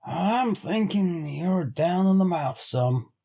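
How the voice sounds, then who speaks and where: A stolid, doubting trail hand played by a male storyteller, pitched well up from a normal male register. He drawls in rustic, old-time speech and takes his time over every phrase, like a storybook frontiersman. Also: animated, slow pace, gravelly, dark tone, lo-fi sound, full character voice. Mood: weary, commiserating. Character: slow, stolid, sceptical sled-dog driver, an adult man. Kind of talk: storybook character voice.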